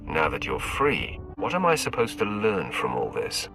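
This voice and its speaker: An actor playing a cold, challenging Sith lord in a video game, stern and commanding. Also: male voice, extremely low pitch, wildly swooping pitch, moderate pace, creaky, over music, exaggerated voice. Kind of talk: dramatic video game acting.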